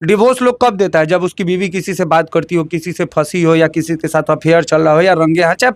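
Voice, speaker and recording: High-pitched combative man with a Bhojpuri accent. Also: steady tone, fairly quick, no room sound. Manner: combative, emphatic, repeats phrases, fires rhetorical questions. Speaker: interviewee. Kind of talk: heated opinionated interview talk.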